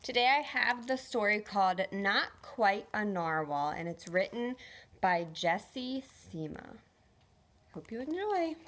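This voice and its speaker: Woman reading a storybook, slow and lively. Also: mid-range voice. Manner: expressive, deliberate storytelling for young children. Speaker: teacher reading aloud. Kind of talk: children's storybook read-aloud.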